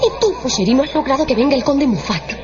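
Imperious actress, lively high voice. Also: female voice, fast. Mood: indignant. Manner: theatrical, commanding. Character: haughty, mercenary young actress and courtesan, imperious and theatrical. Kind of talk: Spanish-language radio drama acting. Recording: dull radio drama recording with music.

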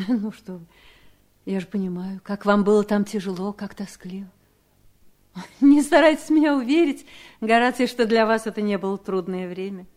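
An actress playing a scheming, coolly polite wife in a radio play, speaking with measured, pointed irony. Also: female voice, medium pitch, lively intonation, a little mellow, natural voice. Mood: sweetly solicitous. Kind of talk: 1970s Soviet radio play acting.

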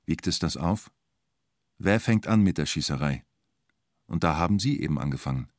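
Low-voiced male documentary narrator. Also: lively intonation, moderate pace, dry recording, studio quality.

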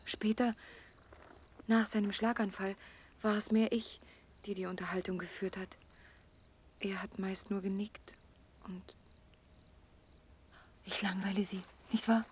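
A woman's voice: medium pitch, flat delivery, unhurried, pauses often, background noise, close to an everyday voice. An actress playing a lonely young woman of twenty in an intimate German radio drama, hurt and pleading yet proud, often dropping toward a whisper. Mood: subdued, wistful. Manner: urgent, imploring, emotionally open.

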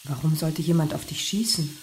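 An actress playing a no-nonsense academic girlfriend and mother, matter-of-fact and gently mocking, in a German radio crime drama. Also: deep voice, brisk, close to an everyday voice. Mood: skeptical, concerned.

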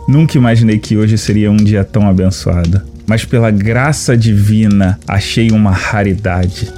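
A man's voice: fairly low pitch, mellow, lightly acted. A man playing a naive, hopeful armored adventurer musing aloud to himself in an audio drama. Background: music.

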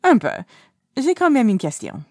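A woman's voice: fairly high pitch, moderate pace, no room sound, studio quality, pitched above the performer's own voice. Woman voicing a playful, swooping young male tease. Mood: teasing. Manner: animated, mischievous. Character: playful, teasing, nosy young man, dramatically indignant. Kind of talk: storybook character reading.

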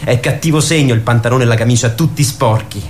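A restless, frustrated teenage lad in a radio play, acted by a man. He rambles on insistently in slang, at a middling pitch, set on getting away. The recording carries some light noise and ambience. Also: quick pace, natural voice. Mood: agitated. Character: talkative restless teenage boy, frustrated, eager to escape. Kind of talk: radio theatre acting.

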